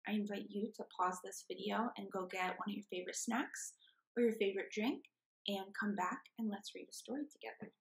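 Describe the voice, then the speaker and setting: A woman's voice: medium pitch, fairly quick, vocal fry, poor audio. A woman in her twenties doing a children's read-aloud as a storyteller, recorded indoors at a desk. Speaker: after-school program storyteller.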